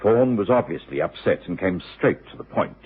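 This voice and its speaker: An actor playing a detective's composed companion, narrating a radio drama in measured storytelling style. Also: male voice, deep voice, animated, slight background noise. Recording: tinny old radio broadcast.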